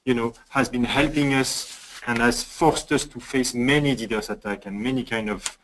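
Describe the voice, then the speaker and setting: A man presenting in a webinar, his voice at a middle pitch. He talks haltingly, breaking his sentences with frequent filler sounds. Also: steady tone, fairly bright tone, slight background noise, rough recording. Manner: halting, many hesitations. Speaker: cybersecurity manager presenting.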